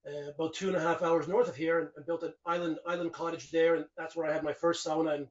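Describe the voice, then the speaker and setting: A man's voice: fairly high pitch, flat delivery, quick pace. A man in his forties, raised speaking Finnish in Canada, reflecting at home in a casual interview with rambling restarts. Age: 40s. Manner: rambling and reflective, frequent restarts and "you know". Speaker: guest. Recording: distant, dull lo-fi mic.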